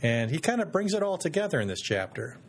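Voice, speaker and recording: A man hosting a podcast in casual chat, relaxed and often pausing with ums and restarts. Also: on the high side, slightly rough. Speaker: podcast host.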